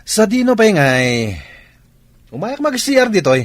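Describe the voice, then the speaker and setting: An actor playing a brash, scornful younger brother out for easy money in an audio drama, with an Ilocano accent and a boastful, scoffing manner. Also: male voice, high-pitched, sing-song, a little slow, dry recording. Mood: scornful, mocking. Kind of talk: dramatized radio-style acting.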